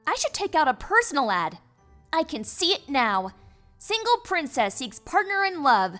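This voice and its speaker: A woman's voice: high-pitched, very animated, moderate pace, fairly bright tone, soft background music. A woman voicing a bookish young pony princess in a fan fiction audio drama, awkward and earnest, with a wry, flustered delivery. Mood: wry self-mockery.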